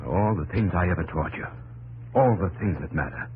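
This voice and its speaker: A man's voice: low voice, normal speed, darkish tone, husky, low-quality recording. An actor playing a grave, remorseful frontier father in a 1950s radio drama. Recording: old radio broadcast with hiss.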